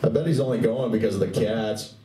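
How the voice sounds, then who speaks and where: A man's voice: on the low side, a little fast, dark tone, lightly acted. A man narrating a scripted live radio play as a wry storyteller. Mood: storytelling. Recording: roomy live venue, distant mic, faint noise.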